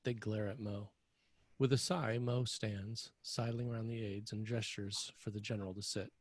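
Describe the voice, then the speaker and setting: A man narrating scene directions for a screenplay table read in a scripted style, with a husky voice. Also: on the low side, steady tone, normal speed, background noise. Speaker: narrator reading stage directions.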